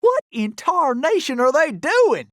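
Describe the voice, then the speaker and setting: An actor playing a cocky, gallant young cowboy outlaw in a video game, with a folksy, exclamatory Old West Southern drawl. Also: male voice, very high voice, sing-song, a little slow, no room sound, clean studio sound, full character voice. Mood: urgent, alert. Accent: American Old West Southern drawl. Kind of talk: animated video game character acting.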